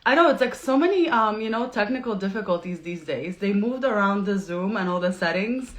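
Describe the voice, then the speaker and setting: A woman's voice: medium pitch, lively intonation, fairly quick. A woman hosting a casual live video interview from New York over a video call, chatty and laughing often, with many fillers. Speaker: podcast host.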